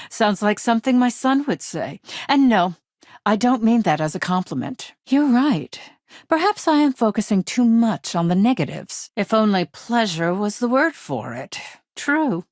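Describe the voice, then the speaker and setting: A woman's voice: a little high, big pitch swings, measured pace, no echo, clean studio sound. A woman playing a stern, weary middle-aged corporate legal executive in a video game, curt and businesslike. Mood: dry, skeptical. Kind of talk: video game dialogue voice acting.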